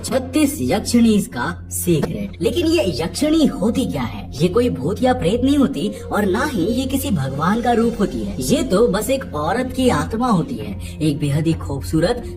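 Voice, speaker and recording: A man hosting and narrating a scripted horror episode, speaking directly to viewers. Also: sits high. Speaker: host, narrator. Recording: distant voice under clear music. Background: clear background music.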